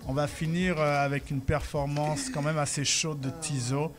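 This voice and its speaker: A man's voice: on the high side, level tone, normal speed, over music. A man with a Québécois French accent hosting a radio interview in a studio, chatty and joking as he asks loose, rambling questions. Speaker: radio show host. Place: radio studio by a street-facing window.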